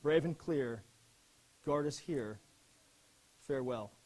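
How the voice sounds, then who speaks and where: A man playing an earnest First World War army officer, giving a solemn, measured dramatic reading of letters and verse. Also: mid-range voice, a little mellow, rough recording. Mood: solemn, elegiac.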